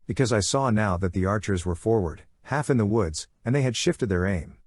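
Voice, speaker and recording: Husky low-voiced man narrating an adventure audiobook. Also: lively intonation, brisk, no echo, clean studio sound. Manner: conversational, punchy short phrases, acts out sound effects. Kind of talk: first-person dramatic audiobook narration of a young adult novel.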